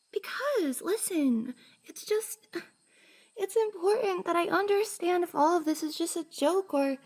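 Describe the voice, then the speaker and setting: A woman playing a shy, flustered young cosplayer in an intimate roleplay ASMR drama, hesitant and breathy, often sighing and dropping to a whisper. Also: very high voice, expressive, measured pace, darkish tone, heavily acted. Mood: nervous, flustered.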